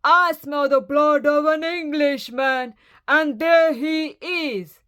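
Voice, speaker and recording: A woman voicing a huge, hungry, scary male giant in a storybook, roaring out loud and menacingly. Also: high voice, steady tone, slow, voice pushed up. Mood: roaring, furious. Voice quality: roaring, shouting.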